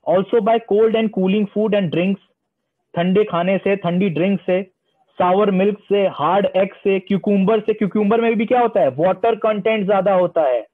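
High-voiced male homeopathy lecturer. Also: steady tone. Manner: reads English text slowly, then explains in Hindi; asks questions and answers them himself. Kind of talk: online lecture mixing Hindi and English. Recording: tinny phone-line sound.

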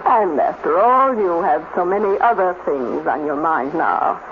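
A woman's voice: fairly low pitch, lively intonation, unhurried. An actress playing a bitter, vengeful woman scientist, insistent and cutting, in a 1950s radio drama. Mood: cold, bitter. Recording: tinny, noisy 1950s radio recording.

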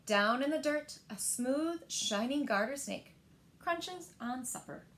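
Higher-voiced woman reading picture books aloud, noisy recording. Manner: expressive, deliberate phrasing with asides to listeners. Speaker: storyteller at a library story time. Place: small living room by windows, indoors.

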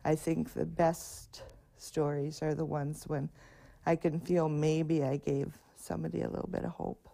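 An older woman speaks warmly and from the heart. Her voice sits low and mellow, with a gravelly fry running through it. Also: level tone, unhurried. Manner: warm, heartfelt, cheerful greetings to kids. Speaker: crossing guard and rideshare driver. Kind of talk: interview soundbites. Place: home interiors, street corner, car.

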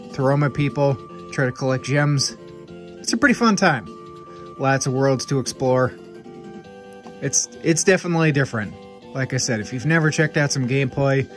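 Stuffed-up man chatting over music. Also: medium pitch. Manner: upbeat, chatty, quick asides. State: stuffed up with nasal congestion. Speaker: gaming channel host. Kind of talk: casual game recommendations to camera. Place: room with game shelves, indoors.

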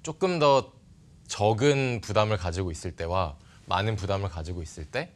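A man in his thirties giving polite, measured explanations as an interview guest. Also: fairly low pitch. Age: 30s. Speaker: interview guest, startup CEO. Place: bright TV studio. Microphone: lapel mic.

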